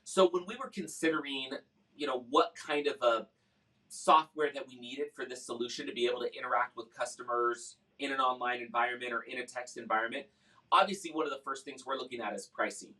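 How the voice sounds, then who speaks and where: A man's voice: medium pitch, fairly quick, bright, forceful tone, distant mic, poor audio. A man talking casually and conversationally as a customer, explaining his experience in a product testimonial. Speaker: software customer giving a review.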